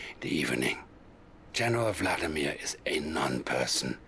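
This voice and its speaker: A scheming psychic advisor who talks in a deep, low hush. His menace stays quiet and controlled, and his words often fade into a breathy whisper. It sounds like a strategy game cutscene. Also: slight background noise, exaggerated voice. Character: sinister middle-aged male psychic advisor, scheming. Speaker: Soviet military advisor. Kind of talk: video game cutscene acting.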